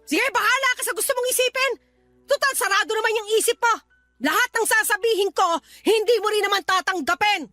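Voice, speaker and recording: Very high sharp female voice, scolding mother, blunt, exasperated, radio drama. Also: fairly quick, dry recording, soft background music. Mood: exasperated, resigned. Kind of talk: Tagalog radio drama acting.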